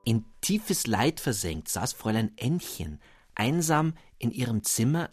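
A man narrating a classic fairy tale in a measured storytelling manner for radio. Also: medium pitch, slightly rough, no echo, clean studio sound. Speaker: audiobook narrator. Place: studio.

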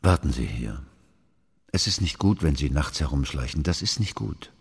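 Stern patronising royal councillor for a radio play, really deep voice, formal, big reverby hall. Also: male voice, expressive, husky, exaggerated voice. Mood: stern, cautious. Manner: formal, measured, admonishing. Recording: echoing hall with long reverb.

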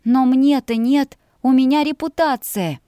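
A woman voicing a proud, fiery young heroine, sharp, scolding and defiant, in an audiobook story. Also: high voice, expressive, measured pace, no room sound, clean studio sound, pitched above the performer's own voice. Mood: indignant.

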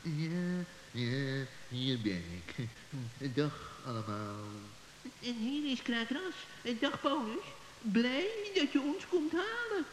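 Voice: medium pitch, expressive. Fawning animal henchman, swooping voice. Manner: slow, obsequious, swooping. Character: fawning animal henchmen of a witch, fox and crow. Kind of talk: children's radio play acting. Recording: hissy old recording, echoey hall reverb.

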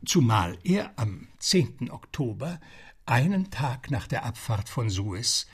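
A man's voice: medium pitch, very animated, slow, breathy edge. A man narrating a radio play as a scripted storyteller. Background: music.

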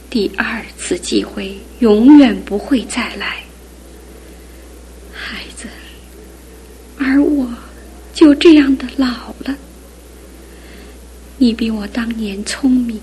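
An actress playing a middle-aged aunt in a radio drama, speaking softly and often in a hushed whisper. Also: female voice, a little high, slow pace, long pauses, breathy edge, exaggerated voice, poor audio. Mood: wistful. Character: aging aunt, gentle, grieving an old love.

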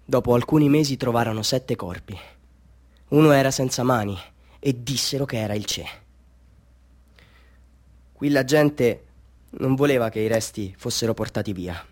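An actor playing a bitter, half-mad village man telling his tale in a theatrical radio drama monologue, swinging between hushed confiding and fervent declaiming. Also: medium pitch, natural voice.

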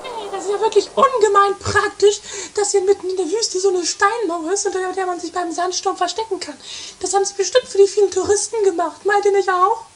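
Woman doing a really high girly voiced naive bickering sister, theatrical, noisy old radio play tape. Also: steady tone, brisk. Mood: chatty. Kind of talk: amateur 1980s comedy radio play acting.

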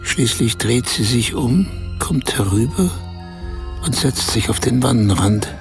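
An actor playing a man in a radio play who confides a strange, embarrassing adventure in short, halting phrases. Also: mid-range voice, animated, gravelly, faint music behind. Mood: embarrassed, confiding. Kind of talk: radio play, intimate first-person storytelling.

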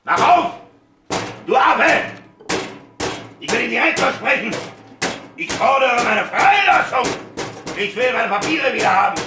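An actor playing a gruff, plain-spoken German ship's captain in jail, with blunt, dry, colloquial delivery. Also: male voice, very high voice, very animated, a little slow, long pauses, exaggerated voice. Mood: furious. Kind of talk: radio drama acting. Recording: echoey room, clear background noise.

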